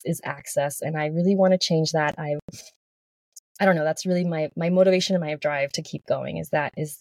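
A woman's voice: sits low, darkish tone, dry recording. A woman in her thirties from Texas, a podcast guest talking earnestly in casual chat, with frequent restarts. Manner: earnest, with many restarts and "like" fillers.

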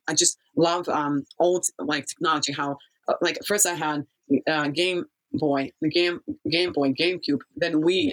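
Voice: mid-range voice, a bit bright, low-quality recording. A person from Kazakhstan telling a life story in a casual interview, rambling and often losing the thread.

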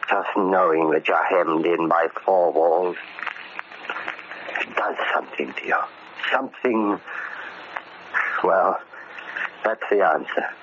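A dull, noisy old radio drama recording carries a low American male voice. a bitter middle-aged convict, grim and deliberate, set on settling an old debt.

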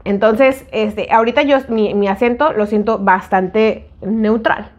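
A woman from northern Mexico with a northern Mexican accent, softened toward neutral, chatting casually with a friend in a relaxed, agreeable way. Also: medium pitch, a little slow. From northern Mexico (Sonora). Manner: relaxed, agreeable, frequent "ujú" backchannels. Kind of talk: casual chat between friends.

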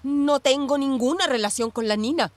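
An actress playing a troubled, evasive former police commander, defensive and theatrical, in a radio drama. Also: female voice, high voice, moderate pace, no echo, natural voice. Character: troubled, evasive ex-police commander woman mourning her dogs. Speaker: actor in a radio play.

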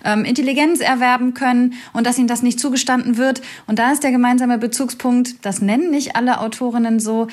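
A woman's voice: a little high, fairly even intonation, brisk, hardly any pauses, darkish tone. A woman university lecturer, a guest expert, explaining a topic at length in a thoughtful, hesitant way with many fillers. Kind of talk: explanatory academic talk.

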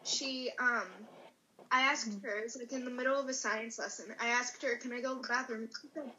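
Young woman, bright and slightly high voice, chatty storyteller, dull recording with faint noise. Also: little pitch movement, a little fast. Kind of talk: casual chat with friends. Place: at home.

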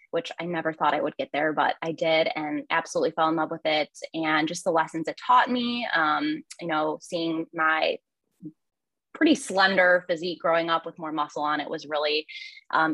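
Low-voiced young woman, dry sound. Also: fairly bright tone, no echo, poor audio. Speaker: podcast guest, nutrition coach. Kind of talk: casual podcast interview.

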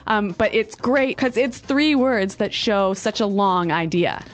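A woman from Canada co-hosting an English lesson podcast, friendly and affirming, repeating phrases slowly. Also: mid-range voice, expressive. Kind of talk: casual teaching chat.

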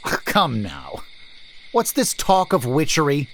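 A man's voice: fairly high pitch, wildly swooping pitch, slow pace, a bit bright, pitched above the performer's own voice, full character voice. A man voicing a brusque, suspicious merchant. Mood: skeptical. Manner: brusque, demanding, skeptical. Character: suspicious gruff male merchant leading a wagon.

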